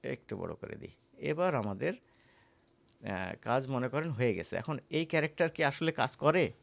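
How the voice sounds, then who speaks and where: A man with a Bangladeshi accent giving a casual, conversational software tutorial as an instructor, often checking in with the listener. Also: medium pitch, big pitch swings, measured pace, creaky. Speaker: animation course instructor.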